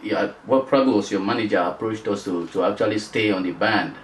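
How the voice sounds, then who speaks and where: A man interviewing a singer in a casual chat, halting and restarting his sentences often. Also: fairly low pitch. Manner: halting, many restarts and fillers. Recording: roomy, distant mic, faint noise.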